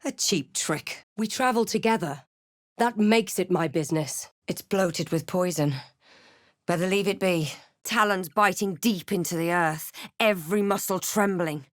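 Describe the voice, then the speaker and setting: Woman doing a wry adventurer gal for a fantasy game, kinda low voice, measured n dryly sarcastic, super dry recording. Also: no echo, natural voice. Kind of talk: scripted game voice lines, part first-person narration.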